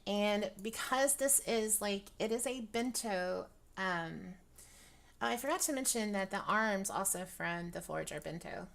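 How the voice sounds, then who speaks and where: A young woman with a dry, raspy voice, chatting casually through a product review with lots of ums and restarts. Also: medium pitch, lively intonation, a little slow, fairly bright tone. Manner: rambling, many ums, laughs often. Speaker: virtual-world fashion vlogger.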